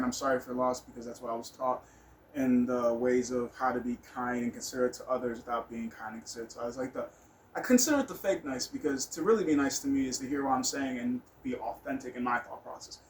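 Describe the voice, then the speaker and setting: A man's voice: medium pitch, fairly even intonation, quick pace, rough recording. A man telling personal stories in a casual, rambling monologue, blunt and candid, with some swearing. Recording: noticeable background noise.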